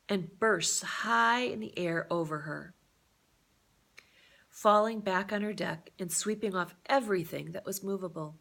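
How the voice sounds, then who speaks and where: Female storyteller for read-aloud fiction, bright forceful tone on a lowish pitch, expressive and deliberate. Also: vocal fry. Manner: expressive, deliberate delivery with dramatic emphasis. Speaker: storyteller reading a novel aloud.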